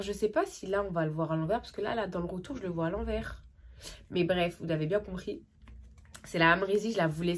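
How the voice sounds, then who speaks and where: A young woman with a cold, chatting excitedly and casually through a makeup tutorial, with lots of slang and trailing sentences. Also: medium pitch, a little hiss or hum. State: has a cold. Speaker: makeup vlogger.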